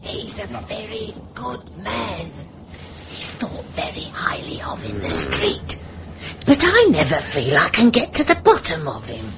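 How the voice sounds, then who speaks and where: An actress playing a chatty, fluttery older London landlady with a husky voice and a Cockney accent in a radio detective drama. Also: lowish voice, huge pitch swings, measured pace, very noisy, heavily acted. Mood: musing. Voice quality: husky, breathy. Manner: gossipy, rambling, motherly. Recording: muffled radio recording.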